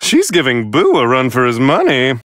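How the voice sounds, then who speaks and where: A man voicing a serene, refined angel attendant who gives polite, flowery, amused fight commentary in a video game, in a high, airy voice. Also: huge pitch swings, moderate pace, a bit bright, no echo, clean studio sound. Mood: impressed, courtly. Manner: polite, flowery, genteel phrasing.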